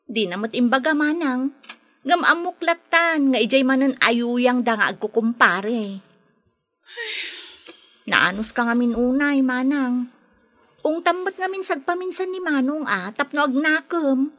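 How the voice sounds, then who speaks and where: An actress playing a frank, concerned younger sister in an Ilocano radio drama, speaking in an earnest, admonishing way. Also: female voice, sits high, lively intonation, unhurried, fairly bright tone, dry recording. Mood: concerned, confiding. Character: frank, concerned younger sister scolding her drinker brother.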